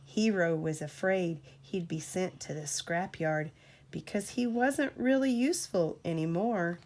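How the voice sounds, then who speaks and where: A woman reading a storybook aloud to a child as a parent, in an expressive, deliberate storytelling manner. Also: on the low side.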